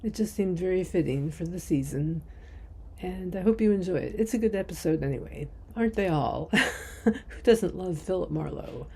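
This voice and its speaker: A woman hosting a podcast in a casual style, with a gravelly voice. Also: fairly low pitch, lively intonation, normal speed, warm tone. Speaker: podcast host.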